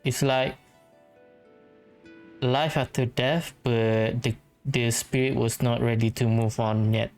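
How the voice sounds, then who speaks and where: Slow, easygoing gamer thinking out loud. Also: medium pitch, steady tone, soft background music. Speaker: gaming video host. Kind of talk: casual gameplay commentary.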